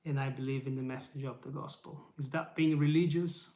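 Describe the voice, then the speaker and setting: A man's voice: mid-range voice, steady tone, brisk, a little mellow. Earnest Portuguese-native man in an echoey room. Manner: earnest, encouraging, rhetorical questions, frequent "right?". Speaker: YouTube channel host. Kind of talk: casual live motivational talk to camera. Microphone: camera mic.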